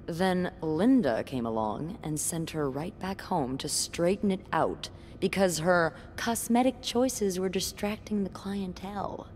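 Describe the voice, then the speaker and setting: Wry, low-voiced hotel receptionist. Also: female voice, animated, unhurried, few pauses, a little mellow, long reverb tail, a little hiss or hum. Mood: wry, gossipy. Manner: wry, chatty. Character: wry hotel receptionist, playful young woman coworker. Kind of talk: video game voice acting.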